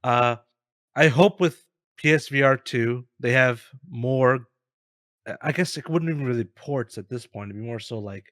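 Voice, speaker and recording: A man chatting casually about games as a co-host, in a measured, somewhat tentative way. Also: medium pitch, a bit bright, no echo, studio quality. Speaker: co-host of a gaming news show.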